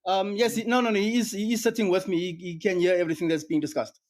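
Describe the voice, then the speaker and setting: High-voiced South African man, hesitant. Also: level tone, quick pace, a little mellow. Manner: hesitant, many fillers and restarts. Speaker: guest, business co-founder. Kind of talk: interview answers.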